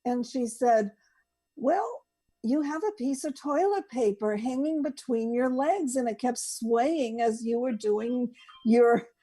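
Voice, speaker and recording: A woman with a soft-edged, mellow voice shares personal anecdotes as a co-host. She speaks very slowly and deliberately, halting now and then, in a fairly high register. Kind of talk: casual personal storytelling.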